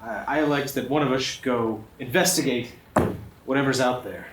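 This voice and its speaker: A man's voice: medium pitch, normal speed, far from the mic. A man playing a tabletop game in character, with formal, old-fashioned wording. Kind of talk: in-character roleplay. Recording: echoey room, off-mic, faint noise.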